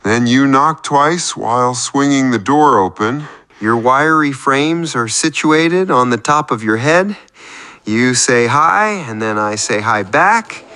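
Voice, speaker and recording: A man's voice: medium pitch, animated, takes it slowly, pushed and bright, clean tone. A man playing a self-deprecating, neurotic caller on a comic radio show, rambling earnestly and apologetically. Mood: narrating earnestly.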